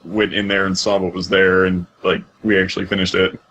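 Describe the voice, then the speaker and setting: A man's voice: low voice, level tone, brisk, fairly bright tone, highs cut off. A man hosting a podcast in an informal, casual way, over a voice call with a little noise. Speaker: podcast host asking questions.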